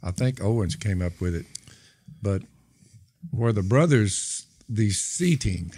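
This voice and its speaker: Slow-talking older man recalling a story. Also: on the low side, expressive, dark tone, slightly rough, no echo, studio quality. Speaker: podcast host and father. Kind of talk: casual conversation. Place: small studio room. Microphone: close studio mic with headphones.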